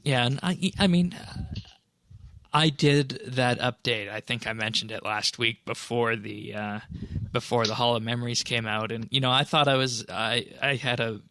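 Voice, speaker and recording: A man with a noticeably creaky, mid-pitched voice talks as a podcast host. He explains game updates in a conversational manner, recorded dry and close. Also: steady tone, moderate pace, sharp tone, no echo. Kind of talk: casual commentary on game patch notes.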